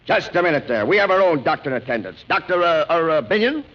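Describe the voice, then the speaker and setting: An actor playing a glib, wheedling, broke theatrical promoter in a 1940s radio comedy, brash and slick. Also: male voice, fairly high pitch, very animated, fast. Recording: muffled, hissy 1940s radio.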